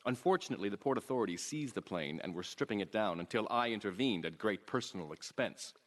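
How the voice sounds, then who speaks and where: A low-voiced, pompous crime patron. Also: male voice, animated. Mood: smug, businesslike. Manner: measured, pompous, businesslike. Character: composed, calculating, wealthy male crime patron. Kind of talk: video game mission briefing.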